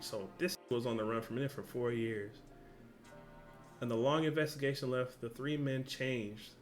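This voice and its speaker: A man reading a story aloud for a reaction video, often stumbling and correcting himself, with casual slang asides. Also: medium pitch.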